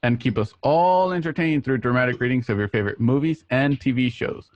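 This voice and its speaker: A man's voice: medium pitch, fairly quick, dry recording. A man hosting a radio show, presenting the intro in an announcer-like way. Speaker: host. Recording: dull lo-fi home recording.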